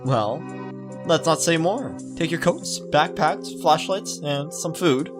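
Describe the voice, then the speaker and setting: A man voicing an energetic young male friend in a visual novel, rallying others for an adventure. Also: fairly high pitch, slow pace, over music, voice pushed up. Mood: eager, bossy.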